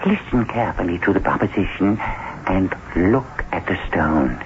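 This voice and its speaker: A man's voice: low voice, animated, normal speed. An actor playing an eccentric, cryptic Englishman in a radio drama, theatrical and insistent. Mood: mysterious, coaxing. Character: eccentric, cryptic Englishman pressing a mysterious stone. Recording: tinny, noisy old radio broadcast.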